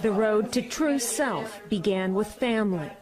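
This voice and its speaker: A woman's voice: medium pitch, lively intonation, a little slow, over loud music. An American woman narrating a scripted television news report.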